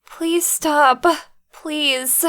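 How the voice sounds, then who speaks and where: A woman voicing a gentle, sorrowful pony princess in a fan audio drama, speaking in halting, pleading phrases. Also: sits high, steady tone, heavily acted.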